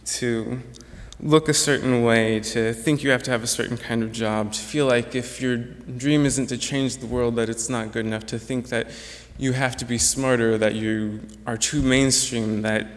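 Earnest young man in a reverberant hall. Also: medium pitch. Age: young adult. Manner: earnest, reflective, with some restarts. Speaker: graduating student speaker. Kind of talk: prepared speech to an audience. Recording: echoey hall with long reverb.

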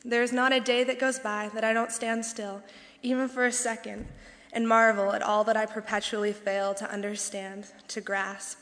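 A woman's voice: fairly high pitch, little pitch movement, normal speed, fairly bright tone. A young woman from western Canada reads her reflective speech in an earnest, lyrical way. The sound carries a long reverb from a big hall. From British Columbia, Canada. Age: young adult. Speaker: student speaker. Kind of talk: reading a prepared reflective speech. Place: campus convocation hall.

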